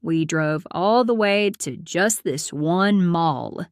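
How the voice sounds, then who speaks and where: A woman playing a prim, aloof accountant in her late twenties who narrates her own story in a deadpan, formal way. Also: lowish voice, slow, no room sound, clean studio sound. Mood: dry, unimpressed. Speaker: host and narrator of a character story series. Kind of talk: scripted first-person storytelling.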